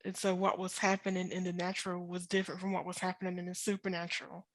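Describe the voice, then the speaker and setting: A woman in her thirties with a slightly low voice, recorded close and dry. She gives personal testimony in conversation, with an emphatic, faith-filled delivery. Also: monotone, quick pace, no echo. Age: 30s. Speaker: author, interview guest.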